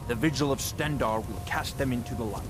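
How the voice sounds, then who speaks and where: A man's voice: medium pitch, brisk, lightly acted. Man acting grave holy warrior over music. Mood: grave, devout. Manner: formal, grave. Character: solemn, devout male holy healer and demon hunter. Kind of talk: fantasy video game dialogue.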